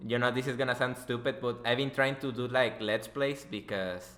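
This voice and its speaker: A man's voice: mid-range voice, fairly even intonation, moderate pace, fairly bright tone. A young man hosting a podcast as casual chat, joking and swearing informally. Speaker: podcast host, interviewer. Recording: echoey room.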